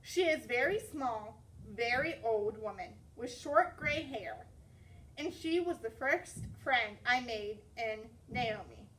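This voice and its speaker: A woman reading a children's story aloud as a teacher, expressive and deliberate. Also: fairly high pitch, far from the mic, rough recording.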